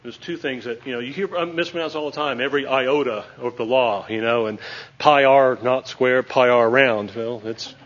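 A man's voice: mid-range voice, normal speed, fairly bright tone, muffled recording. Chatty man teaching a class. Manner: joking, chatty, checks in with "okay?". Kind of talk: classroom lesson at a whiteboard.

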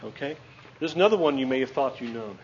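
A man's voice: medium pitch, animated, quick pace, lo-fi sound, a little hiss or hum. A man teaching a class at a whiteboard, chatty and joking, checking in with his students with a frequent "okay?".

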